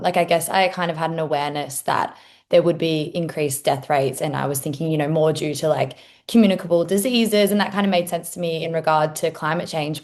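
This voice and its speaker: A woman's voice: deep voice, vocal fry. A woman student sharing a research reflection on an online panel, in a thoughtful, tentative way. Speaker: student presenter.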